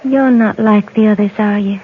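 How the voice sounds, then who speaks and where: A woman acting a young, lonely and disturbed frontier girl in a radio western, childlike and pleading in simple, halting phrases. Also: medium pitch, steady tone, close to an everyday voice. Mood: uneasy, pleading. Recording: tinny, noisy old radio recording.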